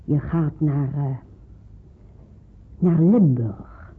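A middle-aged pastor's wife in a radio drama, caring and practical. Her voice is husky, and she explains things in a reassuring, slightly hesitant way. It sounds muffled, as if down a phone line. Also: low voice, very slow, exaggerated voice. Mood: briskly explaining. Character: caring, devout pastor's wife aiding people in hiding. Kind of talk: Dutch radio drama acting.

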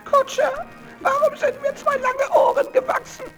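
A little wooden puppet boy in a children's radio play. The voice is extremely high and squeaky, naive, impulsive and eager, with faint music behind it. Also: little pitch movement, moderate pace, fairly bright tone. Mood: upset, pleading. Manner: pleading, impulsive.

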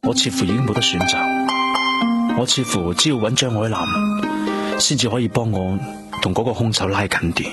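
Music runs under a low-pitched actor's portrayal of a male detective in a Cantonese radio drama. He is troubled and driven, speaking with weary, brooding introspection in a Hong Kong accent. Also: moderate pace, close to an everyday voice. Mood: brooding, anguished. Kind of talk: radio drama acting with inner monologue.

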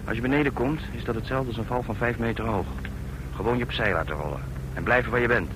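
Male actor as tough gang jumpmaster: curt, sardonic, commanding, medium pitch, noisy old radio drama. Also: fast, close to an everyday voice. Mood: sardonic, instructing. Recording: noisy old radio recording with engine rumble.

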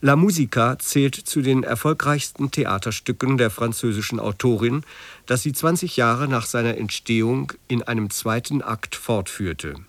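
Radio continuity man, mid-range voice, measured scripted delivery, very little room sound. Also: mid-range voice, fairly bright tone, no room sound. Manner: formal, measured. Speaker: radio announcer. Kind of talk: scripted broadcast announcement. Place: dry broadcast studio.

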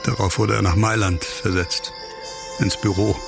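Gravelly, bitter disgraced doctor. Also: male voice, on the low side, fairly even intonation, measured pace, over music. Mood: somber, reflective. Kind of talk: radio crime drama acting.

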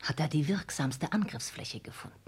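An actress playing a hard, ambitious, scheming countess in a radio play, with formal, intense stage diction and a breathy, husky voice. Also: female voice, low-pitched, lively intonation, moderate pace, darkish tone, in a whisper, exaggerated voice. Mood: hushed, anxious. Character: scheming, hard, ambitious countess plotting against her dying father. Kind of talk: 1960s German radio play acting.

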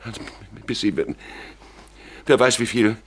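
An anxious general begging for help in a radio drama. His voice sits low and falters as he pleads, with faint noise on the recording. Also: steady tone, fairly bright tone. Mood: anxious, urgent. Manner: halting, imploring, repeats himself. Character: desperate, pleading Russian general, anxious family man.

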